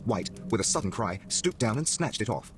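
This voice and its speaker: A man's voice: fairly low pitch, very animated, brisk, over music. A man narrating a horror story in a dramatic, scripted style.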